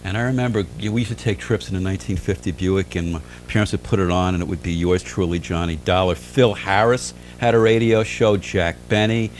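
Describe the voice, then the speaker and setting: A man's voice: sits low, fairly even intonation, brisk, few pauses. A middle-aged or older man hosting a casual interview, chatting, reminiscing and chiming in with agreement. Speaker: interview show host. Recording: audible background noise and hum.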